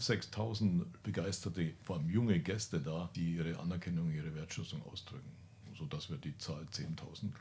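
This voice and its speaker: A man's voice: sits low, warm tone. A man organizing a large sports event, speaking confidently in a briefing style with English buzzwords mixed in. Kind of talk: panel discussion.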